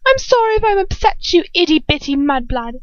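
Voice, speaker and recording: A woman's voice: extremely high pitch, big pitch swings, a little fast, no room sound, lo-fi sound, voice pushed up. A woman voicing a spiteful, taunting villainess witch in an audiobook-style reading, mocking and snapping.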